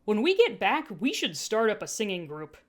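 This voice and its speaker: A woman's voice: mid-range voice, big pitch swings, moderate pace, lightly acted. A woman voicing an upbeat, eager female traveller in an audiobook, with an encouraging, enthusiastic manner. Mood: cheering, encouraging.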